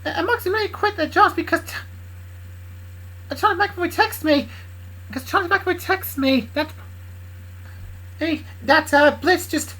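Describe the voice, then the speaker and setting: A woman doing a high, flustered young woman. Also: pushed and bright, low-quality recording. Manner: rambling, stumbling, high and strained. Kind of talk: improvised fan story voicing.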